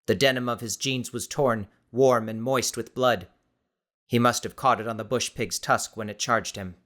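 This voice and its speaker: Male audiobook narrator, dramatic scripted read, mid pitch, tense bright tone. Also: steady tone, normal speed.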